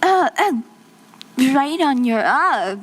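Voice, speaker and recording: A woman's voice: sits high, big pitch swings, slow, a bit bright, exaggerated voice. A young actress playing a young woman putting on a clumsy fake French accent, flustered and hesitant. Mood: flustered pretending. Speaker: child actor in a musical.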